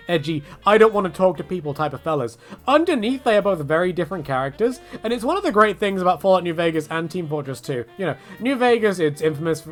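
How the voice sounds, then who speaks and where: A man giving a casual, opinionated rant in a rambling, tongue-in-cheek way, often interrupting himself. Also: on the high side. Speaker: host of a game-character rant video. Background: music behind the voice.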